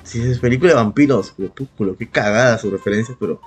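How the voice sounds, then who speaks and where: A man with a Peruvian accent and a husky voice chatting casually as a podcast co-host, slangy and profane. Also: mid-range voice, fast, soft background music. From Peru. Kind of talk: casual chat, reminiscing.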